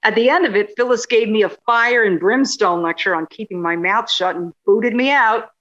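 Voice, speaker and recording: A woman's voice: mid-range voice, moderate pace, fairly bright tone, highs cut off, lightly acted. A woman playing a sarcastic, indignant journalist in a radio play, with a wry way of talking.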